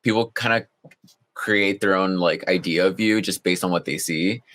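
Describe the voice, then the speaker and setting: A laid-back young man with a very low voice, chatting as a podcast guest. He fills his sentences with "like" and keeps an easy, relaxed flow. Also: moderate pace. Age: young adult. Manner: laid back, heavy use of "like". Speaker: guest, college student. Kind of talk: casual interview chat.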